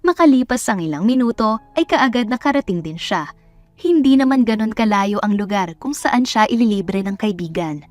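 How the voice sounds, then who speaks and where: A woman's voice: medium pitch, lively intonation, moderate pace, soft background music. A woman storyteller reading scripted romance narration into a close microphone.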